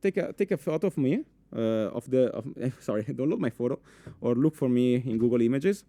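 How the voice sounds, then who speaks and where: A young man with an Italian accent giving a live conference talk in a full room, animated, with many fillers and restarts, asking the audience questions. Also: mid-range voice, brisk, no room sound. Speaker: conference speaker.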